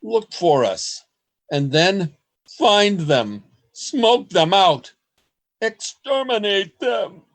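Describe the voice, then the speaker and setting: Radio play diarist, played by a man: desperate, earnest, melodramatic; higher-set voice, very measured. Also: sing-song, exaggerated voice. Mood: vengeful, resolute. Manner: earnest, melodramatic, deliberate delivery. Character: sensitive, desperate man writing a frantic last journal.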